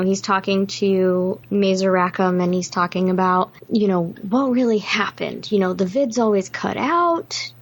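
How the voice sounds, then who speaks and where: A woman co-hosting a podcast, discussing a film casually and with enthusiasm. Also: medium pitch. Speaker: podcast co-host.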